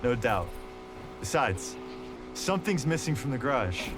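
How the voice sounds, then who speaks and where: A lively, easygoing young street racer. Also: male voice, medium pitch, measured pace. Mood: playful, nostalgic. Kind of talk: naturalistic game cutscene dialogue. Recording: music behind the voice.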